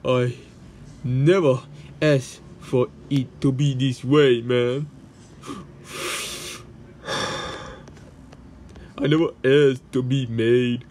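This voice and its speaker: A man's voice: mid-range voice, steady tone, extremely slow, long pauses, darkish tone, a little hiss or hum, pitched below the performer's own voice. A man voicing a brooding, hot-tempered bomb-headed creature in an amateur comic dub, speaking bluntly. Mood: sullen, remorseful. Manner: blunt, says "man" often.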